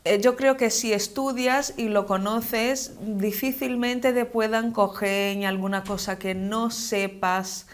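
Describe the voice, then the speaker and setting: Slow-paced young woman, non-native Spanish. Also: medium pitch, fairly even intonation. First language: not Spanish, speaks it as a second language. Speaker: interview guest, model and actress. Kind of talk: conversational interview.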